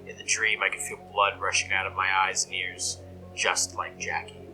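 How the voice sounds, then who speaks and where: Casting: obsessed male dreamer acted by a man for horror audio drama, very low, flat pitch, brooding and hushed, music behind. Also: normal speed, close to an everyday voice, low-quality recording. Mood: hushed reverence. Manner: brooding, hushed, dropping into whispers. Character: obsessed, reverent male dreamer logging eldritch nightmares. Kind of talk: scripted horror monologue.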